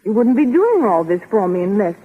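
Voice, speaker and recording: Husky lovestruck starlet, 1930s radio. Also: female voice, medium pitch, very animated, brisk. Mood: pleading, lovestruck. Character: gushing, lovestruck young aspiring actress. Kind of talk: 1930s radio melodramatic comedy acting. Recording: muffled old 1930s radio broadcast.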